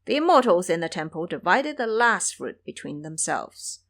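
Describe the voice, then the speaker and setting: A woman narrating a scripted audio drama in a measured, expressive storytelling manner. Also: fairly low pitch.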